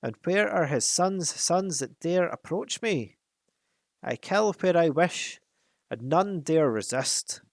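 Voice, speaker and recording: A man voicing a huge, boastful, wicked old male dragon in a storybook reading, with a slow, gloating, menacing delivery. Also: fairly high pitch, expressive, vocal fry, dry recording, pitched above the performer's own voice, full character voice.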